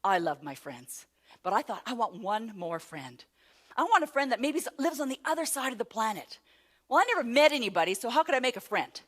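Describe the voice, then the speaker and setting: Animated woman telling a comic travel story. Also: fairly high pitch, brisk. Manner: animated, self-mocking, rising to loud exclamations. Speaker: motivational speaker. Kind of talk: storytelling keynote talk. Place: before a live audience.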